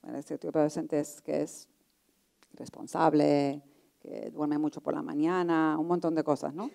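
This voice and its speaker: A middle-aged woman moderates a discussion in a deep, mellow voice with plenty of fry. Her delivery is informal and conversational, restating ideas and illustrating them with examples. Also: flat delivery, moderate pace. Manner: informal, rephrasing and giving examples. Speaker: panel moderator. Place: indoor auditorium stage, seated on a panel.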